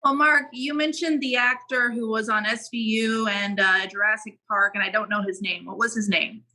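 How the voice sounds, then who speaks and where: High, very bright woman. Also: fairly even intonation, normal speed. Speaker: podcast co-host. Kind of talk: casual interview questioning.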